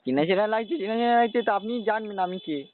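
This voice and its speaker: High-voiced man prank caller, phone line. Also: fast. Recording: narrow phone line with noise.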